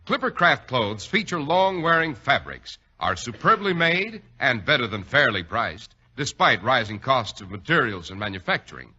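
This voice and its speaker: A man's voice: mid-range voice, sing-song, moderate pace, hardly any pauses, bright, forceful tone, breathy edge. An American man announcing and hosting in 1940s radio style, with polished, emphatic salesmanship. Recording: dull 1940s radio recording.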